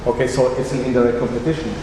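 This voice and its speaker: Audience man, halting speech, long reverb, faint hiss. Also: mid-range voice, little pitch movement. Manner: halting, searching for words. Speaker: workshop participant. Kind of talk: audience comment. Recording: echoey hall, faint noise.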